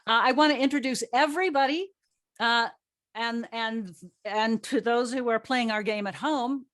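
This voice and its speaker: A woman hosting a panel in a fairly high voice. She reads her introduction with theatrical emphasis and slips in playful, self-deprecating asides. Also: moderate pace, fairly bright tone. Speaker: moderator of a cast panel. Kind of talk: scripted introduction with joking asides.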